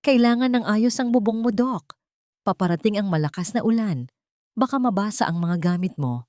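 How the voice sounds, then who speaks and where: A woman narrator voicing a gentle, protective, humble handyman in an audio drama, calm and respectful. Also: mid-range voice, moderate pace, dry recording, clean studio sound, pitched above the performer's own voice, lightly acted.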